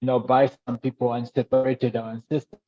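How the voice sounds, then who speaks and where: Zambian man talking haltingly, dull dry audio. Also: medium pitch, flat delivery, dry recording. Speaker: podcast guest. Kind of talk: conversational interview.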